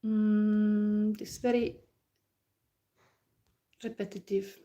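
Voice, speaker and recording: A woman with a Finnish accent, a non-native English speaker, giving a calm, unhurried psychic reading and chatting loosely with viewers, using many fillers. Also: mid-range voice, dull audio. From Finland. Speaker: psychic card reader. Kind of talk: live psychic reading and comment chat.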